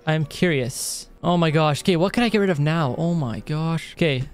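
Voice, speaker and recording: A young man giving casual, excitable let's-play commentary in a chatty way, close on a studio microphone. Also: fairly high pitch, moderate pace, faint music behind. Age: young adult. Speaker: gaming video host. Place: indoor room at a desk. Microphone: close dynamic studio microphone.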